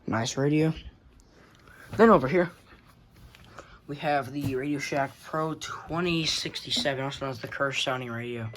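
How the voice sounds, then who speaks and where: Casual male collector, average pitch, rambling and offhand, mildly sweary, noisy home recording with clicks. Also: moderate pace, pauses often, fairly bright tone. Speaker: radio collector showing collection. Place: indoors among working radios. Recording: clear background noise with clicks.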